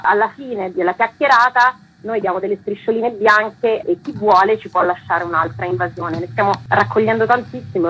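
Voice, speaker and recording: A woman's voice: medium pitch, fairly bright tone, highs cut off, slight background noise. A woman, a theatre artist, explaining things conversationally as a guest in a radio interview.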